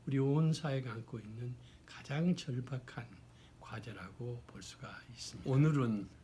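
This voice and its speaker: A man, hoarse from a cold, giving an explanatory talk in a formal, deliberate way with halting phrasing. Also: mid-range voice, warm tone, slight background noise. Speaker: lecturer. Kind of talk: explanatory talk on social issues.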